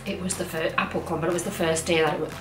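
A woman's voice: fairly low pitch, steady tone, rapid-fire, recorded from a distance. A woman, chatting casually as a vlog co-host. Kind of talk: casual chat, chipping in. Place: living room sofa, indoors. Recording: echoey, off-mic, music behind. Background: background music.

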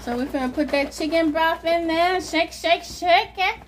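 A woman's voice: extremely high pitch, moderate pace. A middle-aged woman with a Southern US accent, chatting casually while helping with cooking in a home kitchen. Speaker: co-host and helper. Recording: noisy kitchen with sizzling food. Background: frying and stirring sounds.

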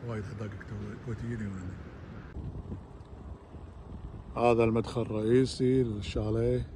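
A man's voice: fairly low pitch, fairly even intonation, fairly quick. A man with a Kuwaiti Arabic accent giving casual running commentary on a road trip, pointing out the sights as he films outdoors on his phone. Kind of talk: casual travel narration. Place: outdoors by the sea and on desert roads. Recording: loud wind noise on a phone.

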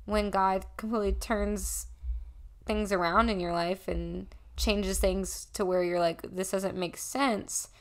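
A woman's voice: mid-range voice, fairly even intonation. A woman in her early twenties, a podcast guest, speaking earnestly and reflectively in casual conversation. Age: early 20s. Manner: earnest, reflective, with pauses and restarts. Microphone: desk condenser mic.

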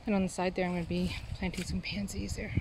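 A woman's voice: on the low side, flat delivery, fast talker, background noise. A middle-aged woman chatting casually to the camera as she works outdoors, laughing easily and explaining her work. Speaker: homestead vlogger. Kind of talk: casual chat to camera while working. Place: outdoors in a farmyard garden. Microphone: camera mic, distance varies. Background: outdoor ambience, animals.

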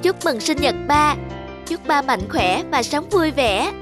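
A young woman playing a devoted, sentimental adult daughter in a cartoon drama, speaking in an expressive, earnest way. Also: sits high, slow pace, loud music behind the voice. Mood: cheerful. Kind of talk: animated cartoon drama acting.

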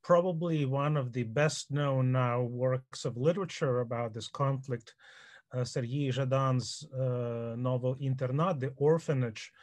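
A man in his fifties moderating an online film Q&A from a home study, asking measured questions with fillers. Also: medium pitch, a little mellow. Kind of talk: online Q&A interview. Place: home study with bookshelves, indoors.

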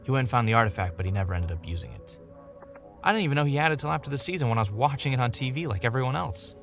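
An actor playing a jaded former reality show winner turned podcaster, blunt and world-weary, in an audio drama. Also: male voice, mid-range voice, lively intonation, racing, gravelly, faint music behind. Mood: explaining. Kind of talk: audio drama dialogue and confessional narration.

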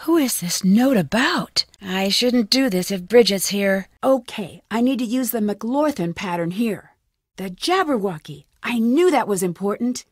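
A woman playing a plucky, quick-witted young female detective in a video game, thinking aloud in clearly spoken one-liners. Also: mid-range voice, expressive, no echo, studio quality. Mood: musing, determined. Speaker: game protagonist.

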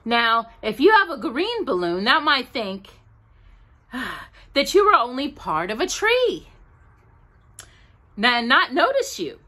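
Woman doing a slow earnest storybook bear, bright lifted voice, swoops up high. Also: wildly swooping pitch, full character voice. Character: gentle bumbling male bear, slow and earnest.

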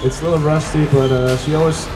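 A young man with a Swiss German accent answers plainly into a handheld mic. The room rings with echo, and music plays clearly behind him. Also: on the high side, fairly even intonation, fast talker. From Switzerland. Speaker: hockey defenseman guest. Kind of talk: fan Q&A answers. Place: indoor rink event stage.

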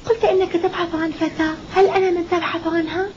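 A sweet, polite young girl in a cartoon dub. The voice is very high and races along, plaintive and earnest. The recording is slightly dull. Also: steady tone, fast, darkish tone, slight background noise.

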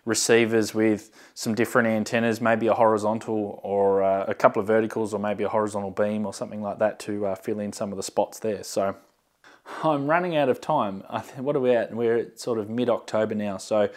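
A man's voice: fairly low pitch, fairly even intonation, brisk. Casual man presenting a hands-on show-and-tell. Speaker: amateur radio hobbyist and channel host. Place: indoor workshop storeroom with shelving. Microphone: lapel mic.

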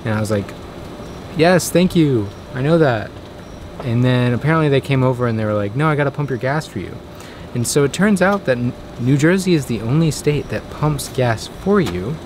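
A man's voice: medium pitch, animated, normal speed. A man in his twenties talking casually as a travel vlogger. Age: 20s. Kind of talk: casual vlog storytelling. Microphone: camera at arm's length. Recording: clear background noise, on-location camera audio.

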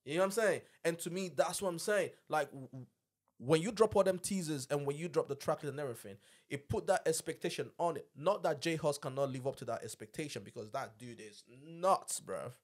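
A man's voice: a little high, brisk. A man, chatting casually and emphatically as a podcast host, full of slang, on a close studio mic. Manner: emphatic, slangy, repeats tags like "you know what I'm saying". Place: small indoor home-style podcast studio. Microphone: close boom-arm podcast microphone with headset.

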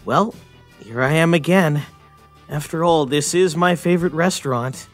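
A man's voice: fairly high pitch, moderate pace, soft background music, natural voice. A man voicing a shy, nervous young male hedgehog fanboy in a comic dub, with a jittery, flustered manner.

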